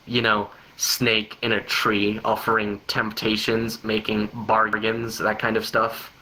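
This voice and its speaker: A man's voice: fairly low pitch, completely flat delivery, takes it slowly, bright, forceful tone, poor audio. A man reading a story aloud unrehearsed, often stumbling and repeating words. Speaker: reader of a long joke story.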